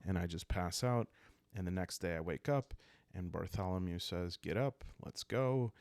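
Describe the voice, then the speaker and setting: A man hosting a solo roleplaying game in a calm ASMR storytelling style, hesitantly thinking aloud as he improvises. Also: low-pitched, creaky, dry recording.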